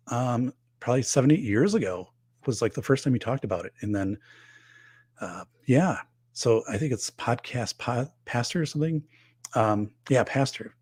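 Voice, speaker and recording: A man's voice: mid-range voice, breathy edge, no echo. A middle-aged man hosting a live show, chatting casually and rambling with many fillers and restarts, losing his voice. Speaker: livestream host reading viewer comments.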